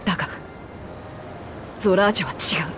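A woman voicing a young lion-woman warrior queen in a video game, passionate and earnest, with rough, tomboyish speech. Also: fairly low pitch, fairly quick, fairly bright tone, slight background noise, natural voice. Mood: grave, resolute. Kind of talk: dramatic video game voice acting.

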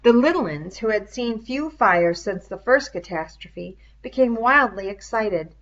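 A woman's voice: medium pitch, expressive, measured pace, fairly bright tone. A woman reading a novel aloud as scripted narration, on a dull lo-fi recording. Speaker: audiobook reader.

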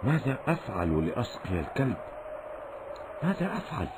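An actor playing a pious, kind-hearted desert traveler in a children's cartoon, with a theatrical, exclamatory delivery. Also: male voice, mid-range voice, very animated, a little fast, very noisy, close to an everyday voice. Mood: pitying, worried. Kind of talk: Islamic children's cartoon acting in formal Arabic.

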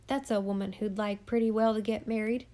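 A woman voicing a sharp-tongued, gossiping eccentric woman who mocks her neighbours in church loudly and with scorn. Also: mid-range voice, steady tone, a little fast, natural voice. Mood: derisive. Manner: loud, derisive, scornful.

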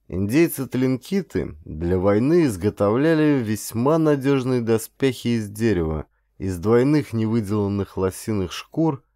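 Low-voiced man narrating dramatic adventure. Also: warm tone, no room sound, studio quality. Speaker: audiobook narrator, actor.

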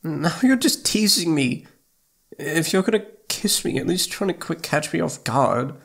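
A man's voice: a little high, animated, measured pace, vocal fry, breathy edge, heavily acted. A man playing a needy, playful young boyfriend in intimate ASMR roleplay, pouty and sweet, stammering when flustered. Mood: needy, teasing.

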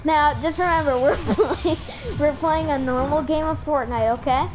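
A woman giving casual gameplay commentary as a host, in an excitable, giggly manner. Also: sits high, moderate pace, background noise. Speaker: host, gaming YouTuber.

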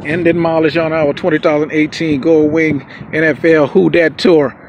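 An older man, a motorcycle traveler, loudly announcing the trip's figures in a casual vlog, filmed outdoors on an action camera. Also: a little high, level tone, normal speed, muffled recording, slight background noise.

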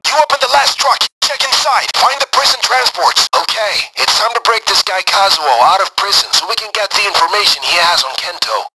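An actor playing a brisk, commanding man who plans heists, giving clipped mission orders over the radio in a video game. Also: high voice, sharp tone, breathy edge, heavily acted, poor audio. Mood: briefing, measured. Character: brisk, commanding male heist planner directing a gang.

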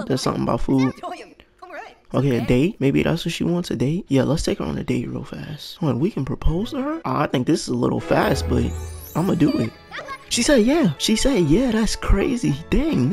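A man casually commenting on his game, thinking out loud and getting excited as things happen, with a raspy voice. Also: fairly high pitch, normal speed, soft background music. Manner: thinks aloud, excited and unsure. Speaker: gaming channel host.

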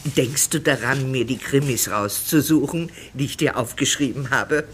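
A woman's voice: very deep voice, moderate pace, slight background noise. An actress playing a doting, cheery older mother who fusses and coaxes in an audio drama. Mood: fussing, coaxing.

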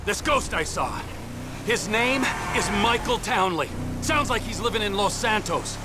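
An actor playing a violent, unpredictable middle-aged criminal in a video game, lurching between mocking whispers and screamed rage. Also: male voice, high-pitched, animated, unhurried, over loud music. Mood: commanding. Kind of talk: video game cutscene acting.

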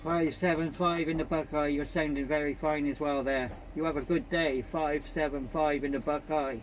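Higher-pitched man on CB radio. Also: fairly even intonation, normal speed, a little hiss or hum, rough recording. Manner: polite, procedural, repeats call signs. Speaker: amateur CB radio operator. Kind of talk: radio contact chat with call signs.

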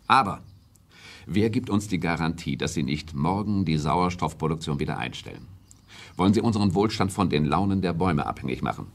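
An actor playing a stern, authoritative prime minister in a radio play, speaking formally and deliberately, as if lecturing. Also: male voice, low voice, animated, creaky, exaggerated voice. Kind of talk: German radio play acting.

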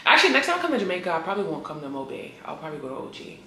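A woman in her thirties talks straight to her viewers in a casual travel vlog, slangy and animated, breaking into loud laughs. Her voice sits fairly low, and it bounces around an echoey hotel room with a faint hum underneath. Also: very fast. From Haitian heritage. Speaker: travel vlogger. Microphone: camera on tripod, some handheld selfie shots.

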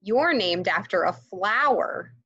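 A woman's voice: medium pitch, expressive, slow pace, fairly bright tone, breathy edge, highs cut off, heavily acted. A woman voicing a snooty, teasing young mouse schoolchild in a storybook, pointed and mocking.

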